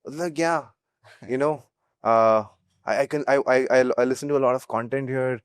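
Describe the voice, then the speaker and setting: A man's voice: mid-range voice, a little fast, smooth, dry recording, studio quality. A young man with an Indian accent, a startup founder telling anecdotes casually in an interview. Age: young adult.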